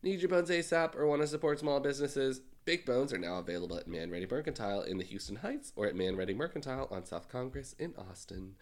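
A man co-hosting a casual podcast, sounding congested and ill, with dry deadpan jokes. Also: medium pitch, fast talker, few pauses. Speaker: podcast co-host. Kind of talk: casual chat and read ad.

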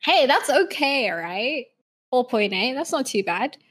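A woman's voice: sits high, very animated, measured pace, a bit bright, slightly rough. A woman in her late twenties with a mixed Australian and American accent, chatting casually and teasingly as a podcast co-host. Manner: teasing, sweary, playful. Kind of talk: casual chat.

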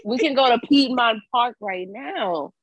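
A congested woman co-hosting a casual podcast, playful and loud as she eggs the others on. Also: a little high, breathy edge, no room sound. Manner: loud, playful hype, quick laughs. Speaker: podcast co-host. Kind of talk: casual banter.

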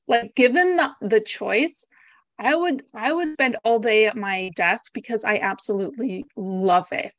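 A woman's voice: fairly high pitch, no echo. A woman in her thirties with an American accent, a podcast guest who talks in an upbeat, chatty way, often tagging on "right?" and "like". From United States. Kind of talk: podcast interview.

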